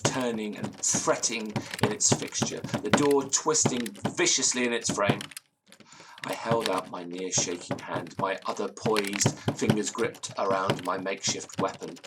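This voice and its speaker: A man playing an anxious, formal British gentleman storyteller. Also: on the low side, measured pace, very noisy, natural voice. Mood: fearful, suspenseful. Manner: formal, ornate, theatrical phrasing. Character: anxious genteel gentleman recounting a ghost story. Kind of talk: audio drama storytelling, period ghost tale.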